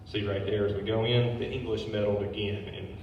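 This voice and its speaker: A man's voice: lowish voice, fairly even intonation, a little fast, darkish tone, dull audio. Man lecturing from afar in an echoey hall. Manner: informal asides between read passages. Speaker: brewery owner giving a history talk. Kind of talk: public lecture reading from notes. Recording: very echoey hall, distant mic, faint noise.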